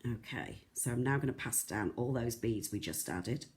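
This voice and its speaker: A croaky, soft-voiced presenter, chatting through a live beading tutorial with lots of ums and restarts. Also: medium pitch, animated, moderate pace. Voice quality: soft, not loud. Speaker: craft shop tutor.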